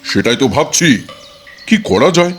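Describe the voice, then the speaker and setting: A man's voice: fairly high pitch, animated, normal speed, fairly bright tone, slight background noise. A man playing a worried, testy old village father-in-law in a folk-tale cartoon, fussy and exasperated. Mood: worried pondering.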